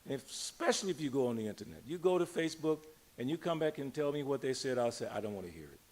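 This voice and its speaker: A man's voice: mid-range voice, animated, fast, a little mellow. An older man giving an informal temple sermon, conversational yet emphatic, putting questions to his listeners and drawing out key words. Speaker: religious teacher giving a temple discourse. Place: speaking live to a gathering in a temple.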